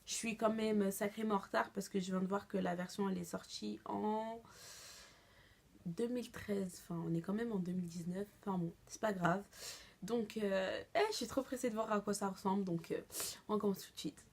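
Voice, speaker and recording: A young woman vlogger giving a casual, expressive reaction commentary full of slang. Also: mid-range voice, normal speed, creaky.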